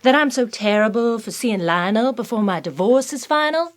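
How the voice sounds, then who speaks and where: An actress playing a determined, defiant grown daughter in an audio soap drama. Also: female voice, fairly high pitch, expressive, moderate pace, natural voice. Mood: defiant, exasperated. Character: adult daughter, married, determined, pleading then defiant.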